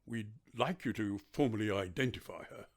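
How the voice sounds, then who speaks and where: A man's voice: lowish voice, moderate pace, lightly acted. A man playing a hesitant, formal police detective in a radio play. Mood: somber. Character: hesitant, formal male police detective bearing bad news.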